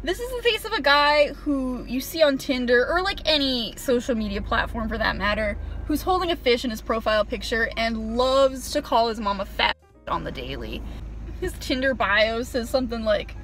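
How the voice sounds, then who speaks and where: A young woman with a fairly high voice rambles through a sarcastic, self-mocking story. She sits in a parked car and talks to a camera on the dashboard, full of ums and wry asides. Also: animated, normal speed, a bit bright, faint music behind. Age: young adult. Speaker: vlogger. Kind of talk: casual storytime monologue.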